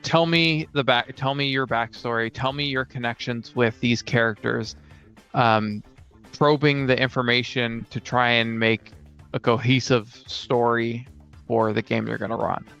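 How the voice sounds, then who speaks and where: Gravelly, even-toned man in casual chat. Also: mid-range voice, unhurried, highs cut off, soft background music. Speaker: podcast co-host and game master. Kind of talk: casual chat about tabletop role-playing games.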